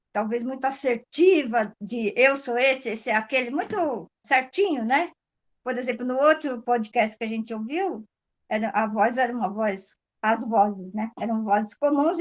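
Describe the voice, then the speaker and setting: Brazilian woman, slightly high pitch, casual class discussion, self-correcting, searching for words. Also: fairly bright tone.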